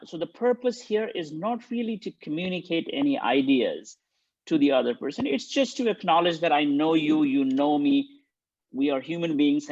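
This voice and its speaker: Halting man lecturing over a dull call. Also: on the high side, a little mellow, no echo. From India. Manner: hesitant, many ums and restarts. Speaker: guest speaker, linguistics professor. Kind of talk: webinar lecture. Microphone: video call. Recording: dull lo-fi dry sound.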